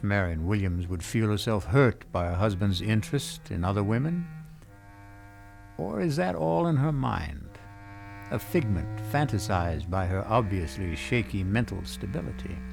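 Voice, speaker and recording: An actor playing a brooding radio mystery host, narrating in a deliberate, theatrical, ominous manner. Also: male voice, fairly low pitch, gravelly.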